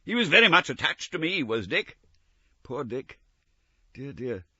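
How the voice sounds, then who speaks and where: A man's voice: mid-range voice, animated, a little slow, sharp tone, slightly rough, no room sound, highs cut off, voice pushed up, heavily acted. A man reading an audiobook, voicing an elderly male miser who exclaims with strong feeling. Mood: fond. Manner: exclaiming, emotional.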